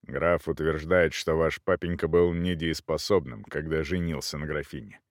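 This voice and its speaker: A man's voice: big pitch swings, a little slow, dry recording, studio quality. A man voicing a stern, weary knight with a restrained, curt manner in an audiobook. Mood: reluctant. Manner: low, restrained, reluctant.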